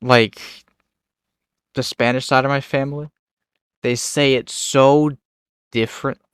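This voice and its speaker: A man's voice: medium pitch, steady tone, a little slow, fairly bright tone, clear, resonant tone, no echo, studio quality. A young man chatting casually and easily with a friend, laughing often. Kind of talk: casual chat.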